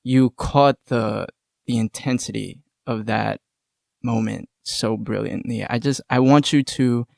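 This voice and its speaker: Guy hosting a chatty interview, gravelly creak, emphatic, feels his way thru questions, dry sound. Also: medium pitch, fairly even intonation, unhurried, darkish tone, no room sound. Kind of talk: conversational podcast interview.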